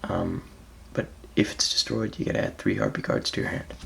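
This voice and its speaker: A raspy, just-woken man, gravelly and low. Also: very animated, a little fast, slight background noise. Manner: laid-back, hesitant, frequent ums, trails off softly. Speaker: card game player presenting his deck. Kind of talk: casual deck walkthrough.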